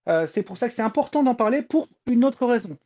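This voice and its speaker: Female panelist, mellow tone, hesitant and wordy informal explanations, slightly dull lo-fi sound. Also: fairly high pitch, expressive, a little fast. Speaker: podcast panelist and reporter on Japanese pop culture.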